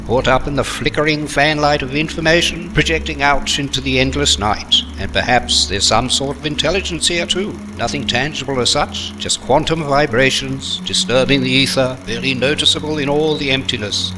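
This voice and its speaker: A man's voice: medium pitch, normal speed, hardly any pauses, background noise, natural voice. A man playing a mock-grand, wordy and testy narrator of a comic sci-fi audio adventure. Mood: grandiose.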